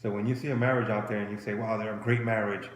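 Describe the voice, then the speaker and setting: Roomy-sounding man in his forties, lower voice. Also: level tone, fast. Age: 40s. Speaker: co-host of a marriage advice show. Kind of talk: casual conversational advice talk. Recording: roomy echo with faint background noise.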